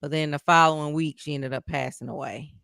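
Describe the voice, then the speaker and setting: A woman co-hosting a casual podcast, her voice bright and forceful. She speaks smoothly and clearly, with sassy, quick comebacks. The sound is dry and close. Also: sits low, fairly even intonation, no room sound. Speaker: podcast co-host. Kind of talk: casual chat.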